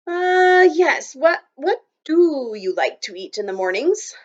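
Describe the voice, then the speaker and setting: An eager young boy talks high and loud, bursting with excitement, as a woman narrator acts him out. The storybook recording sounds a little dull. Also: a little slow.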